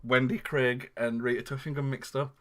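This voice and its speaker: A man's voice: mid-range voice, level tone, moderate pace, a bit bright. A man, co-hosting a casual chat, rambling and laughing often. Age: 30s. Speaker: co-host.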